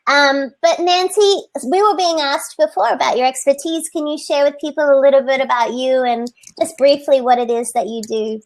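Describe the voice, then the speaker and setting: High-voiced upbeat woman host. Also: moderate pace, hardly any pauses. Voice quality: high, light voice. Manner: chatty, upbeat, encouraging. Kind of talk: casual live video chat. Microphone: computer mic on video call.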